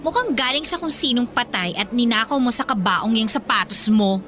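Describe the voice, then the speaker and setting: A woman's voice: on the high side, lively intonation, normal speed, pushed and bright, soft background music. A Filipino woman playing a snobbish, mean schoolgirl bully in a radio drama, sneering and mocking. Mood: scornful.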